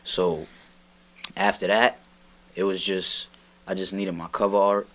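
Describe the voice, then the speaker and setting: A man's voice: low voice, little pitch movement, a little slow, a bit bright. A young man from Brooklyn, a rapper guest talking through his process in a casual, laid-back, rambling way. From Brooklyn, New York. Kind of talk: casual sit-down chat.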